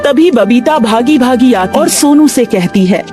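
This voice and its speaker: A woman's voice: on the high side, expressive, a little slow, mellow. A woman narrator reading a scripted Hindi moral story. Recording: music behind the voice.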